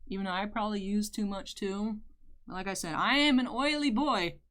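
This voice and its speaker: A young woman with a deeper voice, chatting candidly while doing her makeup for a vlog. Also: moderate pace, fairly bright tone. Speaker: YouTuber. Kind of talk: casual makeup tutorial chat.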